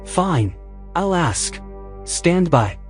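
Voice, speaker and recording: A man's voice: a little high, very animated, very slow, full character voice. A man playing a fussy, reluctant artificial intelligence in an audio drama, sardonic and easily exasperated. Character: fussy, reluctant male artificial intelligence, anxious and put-upon. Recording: music behind the voice.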